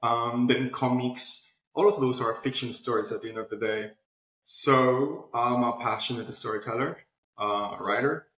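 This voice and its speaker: A man's voice: mid-range voice, steady tone, unhurried, a bit bright. A man speaking as an interview guest, casually telling his story in loose, conversational phrasing, recorded with roomy echo. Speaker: interview guest, platform founder. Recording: roomy, echoing voice pickup.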